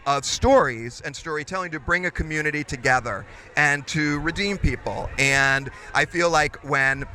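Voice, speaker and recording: A man, a screenwriter, answering interview questions thoughtfully, with frequent ums and pauses. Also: fairly high pitch, bright, forceful tone. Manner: thoughtful, hesitant, many fillers.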